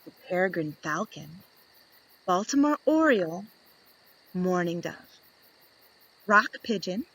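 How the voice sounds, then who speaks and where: A woman reading aloud to young children in an expressive storytelling style, deliberate and fond of dramatic pauses. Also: medium pitch, no echo, poor audio.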